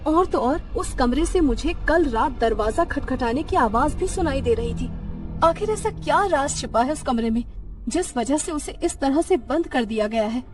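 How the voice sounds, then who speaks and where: एक महिला डरावनी कहानी में जिज्ञासु, ज़िद पर अड़ी युवा पत्नी का किरदार निभा रही है।. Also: female voice, high voice, darkish tone, recorded from a distance. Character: inquisitive young wife, insistent and bribing. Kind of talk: dramatised horror story dialogue.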